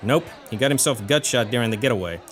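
A man's voice: medium pitch, animated, fast talker, some chatter behind, close to an everyday voice. A man playing a streetwise lawman and war veteran in a pulp audio drama, speaking in a dry, matter-of-fact way. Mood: dry, businesslike. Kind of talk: 1930s-set pulp audio drama acting.

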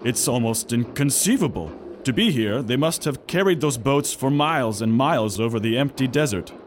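A man's voice: mid-range voice, lively intonation, moderate pace, close to an everyday voice. A man playing a terse, grave ship captain in an audio drama. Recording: background noise.

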